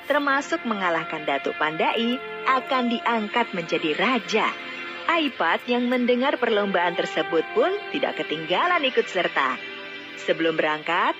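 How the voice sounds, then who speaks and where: A woman's voice: medium pitch, expressive, normal speed. A woman narrating a scripted fairy tale as a storyteller. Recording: dull lo-fi audio, music bed. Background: background music.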